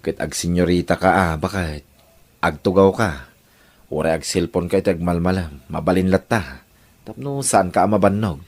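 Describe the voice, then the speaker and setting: Man acting a low-voiced, controlling Ilocano husband. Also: moderate pace, darkish tone. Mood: tender, insistent. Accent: Filipino, Ilocano. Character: controlling, jealous working-class husband, doting then harsh. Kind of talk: Ilocano audio drama acting.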